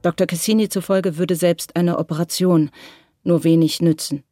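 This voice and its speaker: A narrator reading a crime radio play in a measured, even way. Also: medium pitch, faint music behind. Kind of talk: scripted narration.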